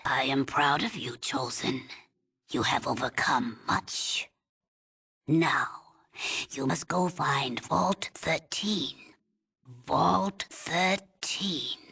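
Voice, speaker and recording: An aged female village elder from a video game, her voice very husky and thick with gravel. She speaks slowly and solemnly, with a formal, halting gravity that pleads for her people. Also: rumbling low, pushed and bright, exaggerated voice. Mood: solemn, encouraging. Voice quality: husky, raspy, breathy. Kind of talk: video game character dialogue.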